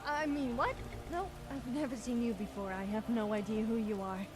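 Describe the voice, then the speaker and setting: A woman's voice: on the high side, normal speed, over music, lightly acted. A woman playing a groggy, flustered, cheeky young follower in a comic fantasy video game role. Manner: flustered, babbling. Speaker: video game mod character.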